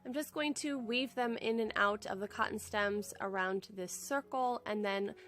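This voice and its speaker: A woman's voice: mid-range voice, moderate pace, fairly bright tone. Woman with a head cold. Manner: friendly, chatty and upbeat. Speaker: home decor vlogger. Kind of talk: casual how-to narration.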